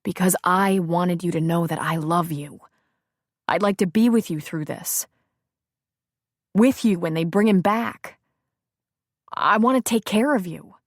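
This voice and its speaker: A woman reading an audiobook, voicing a remorseful ex-husband who pleads haltingly for another chance. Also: lowish voice, dry recording, studio quality. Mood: earnest.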